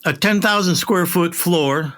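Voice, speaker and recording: Guy, middle aged, slightly high voice, casual emphatic producer shop talk. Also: a little slow, fairly bright tone.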